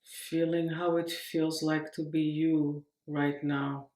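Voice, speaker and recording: A woman leads a guided meditation in a roomy, echoing space. Her delivery is very slow and even, with gentle instructions offered in short, unhurried phrases. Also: low voice. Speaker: meditation teacher.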